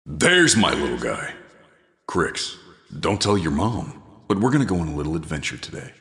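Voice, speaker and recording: A fond father speaks in a very deep, lilting voice. He is affectionate and conspiratorial, with reverb around him as in a remembered scene. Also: very animated, normal speed, a bit bright. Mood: fond, playful. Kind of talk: audio drama acting.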